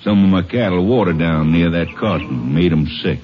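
An actor playing a gruff, defensive rancher with a blunt, folksy manner, in 1950s western radio drama style. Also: male voice, low-pitched, normal speed, close to an everyday voice. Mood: defensive, surly. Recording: dull, noisy vintage radio recording.

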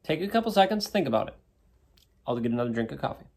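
A man's voice: mid-range voice, very animated, quick pace, a little mellow. A man in his thirties hosting a solo wellness show, talking in a conversational way and often adding "right?" to check in with listeners. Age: 30s. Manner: conversational, keeps asking "right?". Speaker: podcast host and wellness coach. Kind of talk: casual solo talk to listeners.

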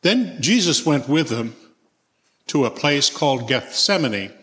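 Man, bright forceful voice, narrating scripture, echoey church hall. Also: fairly high pitch, lively intonation, unhurried. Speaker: scripture reader, church member.

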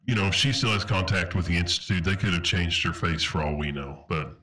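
A man's voice: low voice, brisk, pushed and bright, far from the mic. A man playing an earnest armored military officer in a roleplaying game, speaking in formal jargon. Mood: serious. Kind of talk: tabletop roleplay, in character.

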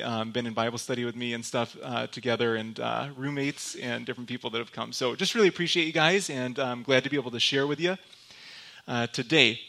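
A young man from Michigan giving a church talk as a guest speaker, telling personal stories in a relaxed, joking way with lots of fillers and restarts. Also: medium pitch, creaky. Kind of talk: sermon told as personal stories.

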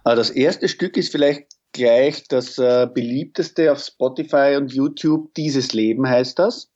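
Halting man, mellow voice. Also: mid-range voice, dull audio. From Austria. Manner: halting, searching for words. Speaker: guest musician and sound engineer. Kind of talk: radio interview answers.

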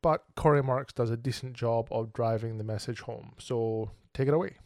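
A man's voice: medium pitch, moderate pace, darkish tone, dry recording, clean studio sound. A man hosting his own podcast, talking alone in an earnest, reflective and candid way. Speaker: podcast host. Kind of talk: solo podcast monologue.

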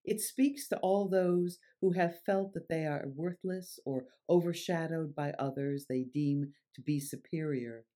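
A man's voice: a little high, dark tone. A man reading scripted, explanatory introductions as a narrator.

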